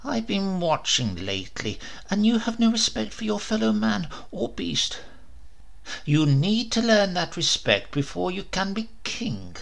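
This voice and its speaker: A man's voice: sits high, sing-song, unhurried, vocal fry, voice pushed up, heavily acted. A man voicing a stern, noble old king who scolds and mocks his spoiled son in a storybook reading. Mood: stern, lecturing.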